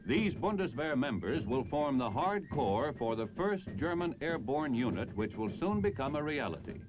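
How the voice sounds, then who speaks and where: A man's voice: medium pitch, big pitch swings, moderate pace, highs cut off. An American man narrating a mid-century military newsreel in a jaunty, polished announcer's delivery over music on an old film soundtrack. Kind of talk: 1950s-60s military newsreel narration, scripted.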